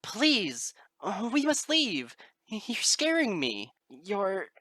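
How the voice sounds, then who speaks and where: A man voicing a frightened young female unicorn scholar in an audiobook reading, shrill and panicked. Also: animated, fairly quick, sharp tone, no echo.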